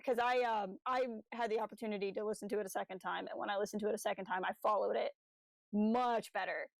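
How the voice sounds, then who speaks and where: A woman's voice: medium pitch, fairly even intonation, fast, creaky, dry recording, rough recording. A woman co-hosting a podcast, talking through a review in a casual, conversational style. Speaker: podcast co-host.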